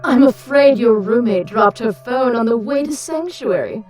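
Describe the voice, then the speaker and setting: A woman's voice: on the high side, lively intonation, normal speed, over music, close to an everyday voice. A woman playing a menacing villain in an audio drama, taunting with mock sweetness and condescension. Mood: mocking glee. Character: mocking, menacing villain taunting a young magician.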